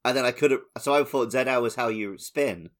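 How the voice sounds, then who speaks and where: A man's voice: mid-range voice, moderate pace, fairly bright tone. A man chatting casually about video games as a podcast co-host. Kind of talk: casual video game chat.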